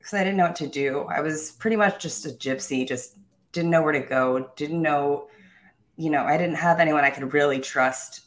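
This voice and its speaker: Low-voiced woman telling her story. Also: moderate pace. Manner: upbeat, self-assured, with small laughs and restarts. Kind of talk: candid personal storytelling.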